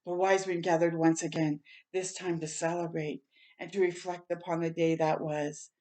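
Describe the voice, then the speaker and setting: A woman's voice: lowish voice, flat delivery, moderate pace, darkish tone. A woman reading a picture book aloud for children as a storytime host, in a dramatic, heartfelt and emphatic manner.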